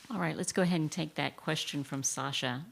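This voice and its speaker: A woman moderating a press conference, calling on reporters in a brisk, procedural way. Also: low voice, creaky.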